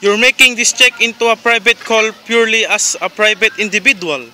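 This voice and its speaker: A man playing an unhurried, officious chief telephone operator in an amateur radio play, with a formal, measured manner. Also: high-pitched, sharp tone, slight background noise. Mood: formal, doubtful.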